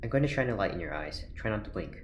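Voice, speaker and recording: Earnest low-voiced male doctor, echoing room. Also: sing-song, fast talker. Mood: clinical, intent. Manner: clinical, careful, evasive. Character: earnest, worried male prison scientist doctor. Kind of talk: naturalistic audio drama acting. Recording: roomy, echoing, faint background noise.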